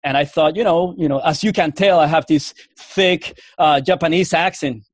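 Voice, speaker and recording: A man with a Cuban accent and a slightly high-pitched voice recounts his path into the Army as an interview guest. He rambles, doubling back and restarting often. The sound is dry and close, with no room around it. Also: normal speed, dry recording. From Puerto Rico. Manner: rambling, full of restarts and fillers. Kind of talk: casual interview storytelling.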